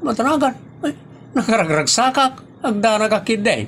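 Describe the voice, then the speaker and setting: A man's voice: extremely high pitch, big pitch swings, normal speed, exaggerated voice. A man acts out a hard-drinking, carefree fellow with an Ilocano accent. He whines and protests with wildly swinging intonation, in audio-drama comedy style. Faint noise sits behind the voice. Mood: boastful, exasperated.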